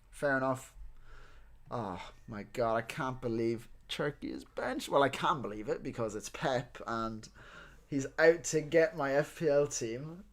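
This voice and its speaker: A man with a neutral Irish accent and a mid-pitched voice talks casually on a livestream. He is informal and sweary, addressing his viewers as he reacts to updates. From Ireland and several countries abroad. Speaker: livestream host. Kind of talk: casual livestream chat reacting to team news.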